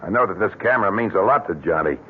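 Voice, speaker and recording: An actor in an old radio drama playing a brusque, stubborn police inspector with a gruff, insistent manner. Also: male voice, low voice, lively intonation, fast, slight background noise. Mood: gruff, suspicious. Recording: muffled, narrow-band old radio broadcast.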